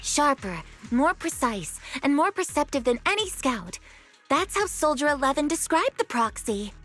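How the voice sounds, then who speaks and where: Young female officer, video game. High, lively pitch, formal precise wording, earnest and disciplined. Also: normal speed. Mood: composed, formal. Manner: formal, precise briefing delivery. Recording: music behind the voice.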